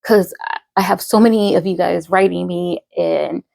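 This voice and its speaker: A woman in her thirties talks casually to her followers, rambling and restarting her sentences. Her voice sits a little low and is thick with gravelly fry. She speaks very slowly into a close microphone with a dry, dead sound. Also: fairly even intonation, darkish tone, dry recording. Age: 30s. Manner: slow, rambling, many fillers and restarts. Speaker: poet and podcaster.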